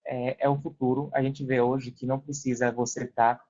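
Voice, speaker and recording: A man's voice: mid-range voice, little pitch movement, moderate pace, dark tone. A Brazilian man explaining things casually as a podcast guest, repeating phrases for emphasis. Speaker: podcast guest, affiliate seller. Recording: dull, band-limited sound.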